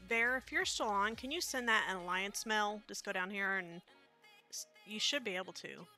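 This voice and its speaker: A woman's voice: medium pitch, normal speed, bright, forceful tone, soft background music. A woman with a Midwestern accent, chatting casually and rambling with many fillers while streaming a game. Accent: Midwestern American. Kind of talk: casual live-stream commentary.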